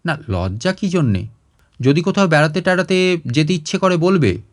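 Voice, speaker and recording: A man's voice: on the high side, lively intonation, moderate pace, close to an everyday voice. A man voicing a caring grown daughter who firmly manages her father's affairs in a storybook reading. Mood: reassuring, firm.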